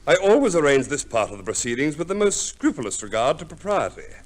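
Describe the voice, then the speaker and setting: A fussy solicitor from an old radio play. His phrasing is formal, unctuous and scrupulously precise, with small hesitant hums, and his pitch rises and falls in very animated sweeps. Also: fast. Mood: solemn, precise. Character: fussy, pompous English divorce lawyer, scrupulously proper. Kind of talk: 1950s radio play acting.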